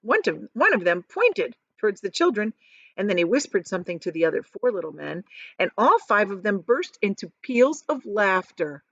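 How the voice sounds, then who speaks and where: A woman reads a children's story aloud in a highly animated, fairly high voice. She is expressive and pauses to explain words simply. The recording is dry and a little muffled and lo-fi. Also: gravelly, no room sound.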